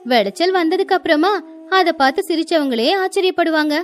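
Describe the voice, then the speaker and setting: A woman's voice: very high voice, brisk, soft background music. A woman voicing an earnest, dutiful young village daughter-in-law who speaks respectfully in a moral-story cartoon. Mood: confident, respectful.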